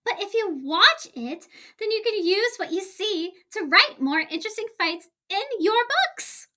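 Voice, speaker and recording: A spirited little female pit fighter, played by a woman in an audio drama. The voice is extremely high and bright, with lively swings in pitch. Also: unhurried, full character voice. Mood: eager.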